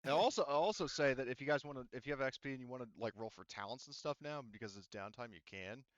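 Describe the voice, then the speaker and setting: A man's voice: mid-range voice, fast, dry recording, dull audio. A man working as a game master, narrating and explaining a tabletop roleplaying game in a rambling, informal way.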